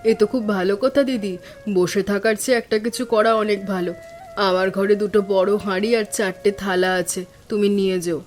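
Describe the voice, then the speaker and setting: A woman voicing a supportive, generous village neighbour in an animated cartoon story, speaking reassuringly. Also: medium pitch, brisk, soft background music, close to an everyday voice. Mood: encouraging.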